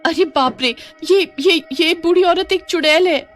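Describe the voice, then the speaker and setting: A woman's voice: fairly high pitch, a little slow, soft background music, pitched above the performer's own voice. A woman playing a rustic, easily alarmed wife with an in a folktale. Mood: terrified. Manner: exclaiming, animated. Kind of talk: dramatized Hindi horror folktale.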